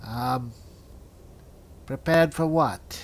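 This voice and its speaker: A man doing in-character roleplay commentary over a video game, halting and muttering to himself. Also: medium pitch, slight background noise. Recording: music behind the voice. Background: game music.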